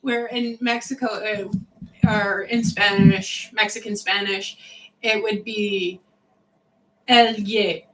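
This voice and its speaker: A woman's voice: mid-range voice, steady tone, slow pace, a bit bright, poor audio. A woman co-host in casual chat, reading out viewer questions and chiming in with short remarks.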